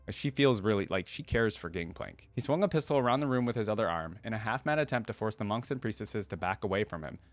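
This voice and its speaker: A man hosting a reaction video, reading a story aloud and commenting casually as he goes. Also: fairly low pitch.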